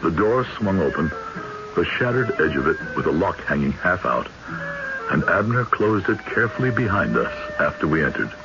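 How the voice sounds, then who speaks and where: An actor playing an earnest, formal country judge who also narrates a radio mystery in a deliberate way. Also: male voice, low-pitched, very animated, music in the background. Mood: grave, narrating. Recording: noisy, muffled vintage radio broadcast.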